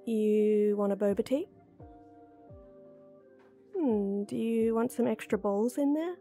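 A woman's voice: a little high, takes it slowly, dark tone, soft background music. A young woman playing a playful, flustered friend with a secret crush in an intimate audio roleplay, teasing, giggly and hesitant. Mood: cheerful, giggly. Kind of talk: intimate one-sided ASMR-style roleplay acting.

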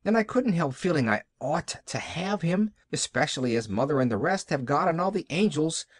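Storybook role: gushing little orphan girl, relentlessly glad, breathless, high and swooping , acted by a man. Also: animated, brisk, dry recording, clean studio sound. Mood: brave, wistful. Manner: breathless, rambling, eager.

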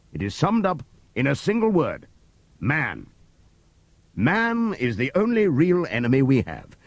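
Old boar rebel leader, read by a male narrator: husky, low, wildly swinging pitch, close and dry. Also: no room sound, heavily acted. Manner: impassioned oratory, sweeping from loud appeals to hushed asides. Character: old prize boar, wise, rousing orator. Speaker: audiobook reader. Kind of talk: dramatic audiobook reading.

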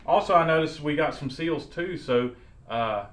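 A man's voice: a little high, animated, normal speed, fairly bright tone. A man in his thirties, a mechanic host chatting casually as he rebuilds an engine in his garage workshop. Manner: easygoing, talks while working with his hands.

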